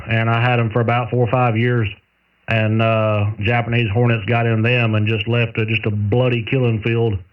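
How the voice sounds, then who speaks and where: Southern guy on cb radio, real thin squawky signal, kinda far off, folksy rambling chat. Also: medium pitch. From southern United States. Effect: two-way radio transmission. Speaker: amateur radio operator, radio technician. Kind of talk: casual two-way radio chat. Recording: narrow tinny single-sideband CB radio, distant.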